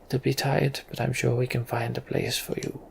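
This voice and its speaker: A man voicing a gruff, proud and weary old human king in a storybook fantasy game. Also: medium pitch, flat delivery, normal speed, a little hiss or hum. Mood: weary, grateful.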